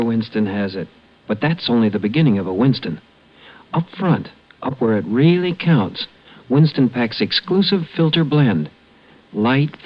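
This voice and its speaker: A man delivering a scripted 1950s radio advertising pitch as an announcer. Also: dull audio. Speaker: commercial announcer. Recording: band-limited vintage radio broadcast.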